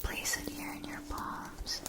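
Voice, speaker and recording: Woman playing a softly whispering, gentle witch-school wand seller. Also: natural voice. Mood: soothing, instructive. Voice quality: breathy whisper. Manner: slow, soothing, deliberate, with hushed repetitions. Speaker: ASMR performer. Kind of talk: whispered ASMR roleplay, scripted.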